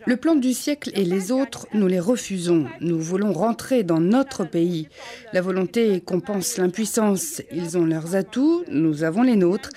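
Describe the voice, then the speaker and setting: A woman's voice: mid-range voice, dark tone, lightly acted. A woman voicing a steadfast refugee-camp woman in a plain, earnest interview voice-over. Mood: resolute.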